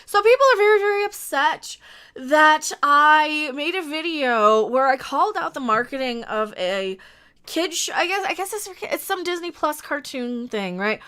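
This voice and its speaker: A woman's voice: high voice, animated, moderate pace, bright, forceful tone. A sniffly woman hosting a casual live stream, rambling bluntly with restarts and asides to her chat.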